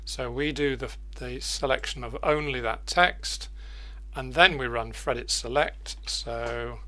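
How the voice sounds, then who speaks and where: An older man presents an informal screencast tutorial in a medium-pitched voice. He thinks aloud as he works, hesitating while he explains each step. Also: unhurried, bright, forceful tone. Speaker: presenter of editing macro tutorials.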